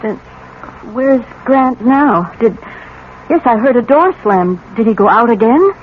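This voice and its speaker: A woman's voice: on the high side, expressive, unhurried. An actress playing a suspicious, bitter middle-aged wife and mother in a vintage radio drama. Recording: tinny, band-limited old radio broadcast, faint noise.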